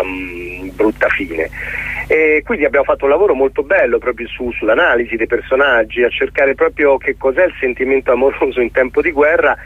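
Middle aged guy on a phone interview, raspy-ish husky voice, stops and hunts for words, tinny line. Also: medium pitch, no room sound. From Middle East. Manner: hesitant, halting, searching for words. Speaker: theatre director and guest. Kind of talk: radio interview, explaining a production. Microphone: telephone call.

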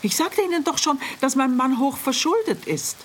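An actress playing a worried, sceptical wife in a radio play, with a proper, pointed way of questioning. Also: female voice, sits high, animated, normal speed, a little hiss or hum. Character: worried, sceptical wife of a missing, indebted man.

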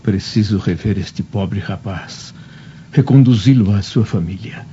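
Male actor as tormented man, low dark voice, solemn intensity, radio drama, muffled audio. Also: measured pace, slight background noise, natural voice. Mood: remorseful resolve. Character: tormented, resolute man in solemn inner monologue. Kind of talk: dubbed radio drama acting. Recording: muffled audio with music behind.

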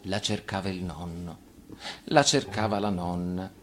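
A man reading a children's tale as storyteller, in an expressive scripted narration. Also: low-pitched, a little slow.

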